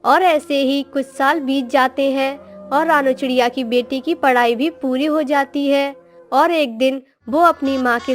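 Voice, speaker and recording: A woman narrator reading a scripted moral story for a cartoon, in storytelling style. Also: high voice.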